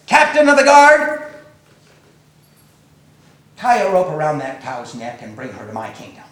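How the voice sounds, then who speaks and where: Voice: mid-range voice, lively intonation, brisk, a little mellow, pitched below the performer's own voice, lightly acted. A storyteller voicing a proud male emperor who makes insistent, commanding demands, in a very echoey room. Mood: demanding.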